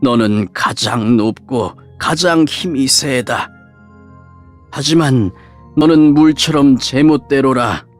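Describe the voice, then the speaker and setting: A man as a slow, solemn dying old patriarch. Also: mid-range voice, soft background music, natural voice. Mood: stern, solemn. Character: aged dying patriarch, solemn father blessing his sons. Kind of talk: dramatized scripture audiobook acting.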